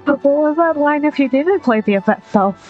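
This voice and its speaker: A woman's voice: high-pitched, expressive, brisk, a little mellow. A woman casually commenting as she streams a video game. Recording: dull lo-fi mic, game music.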